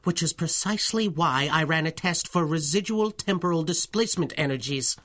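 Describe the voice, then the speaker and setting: An actor playing a kindly, fussy, learned scientist with precise, courteous speech in a 1930s-style radio drama. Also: male voice, on the high side, fairly quick, a bit bright, natural voice. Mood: thoughtful.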